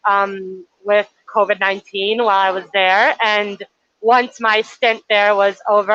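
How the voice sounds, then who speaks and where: Bright-voiced young woman from Chile. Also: medium pitch, smooth, no room sound. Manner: friendly and articulate, with light fillers. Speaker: nonprofit intern. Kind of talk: casual interview answers. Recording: lo-fi livestream audio.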